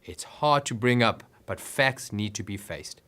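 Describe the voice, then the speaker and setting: A man's voice: fairly low pitch, expressive, a little slow, sharp tone. A man reading scripted business advice narration in an instructive way with emphatic phrasing.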